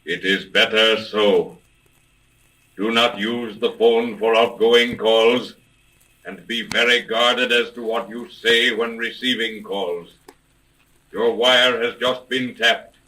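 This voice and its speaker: Slow, solemn, disembodied ghostly father. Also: lowish voice, fairly even intonation, sharp tone. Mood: solemn warning. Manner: solemn, measured, formal. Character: calm ghostly voice of a long-absent father, advising. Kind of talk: 1930s mystery radio serial acting. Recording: noisy old radio recording, distant voice.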